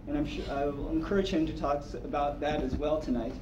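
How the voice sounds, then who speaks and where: A man's voice: a little high, fairly even intonation, fast, muffled recording. A man hesitantly giving a prepared introduction of a guest speaker at a meeting, stumbling a little as he goes. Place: meeting room, picked up from afar. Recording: distant, echoey, noisy meeting-room recording.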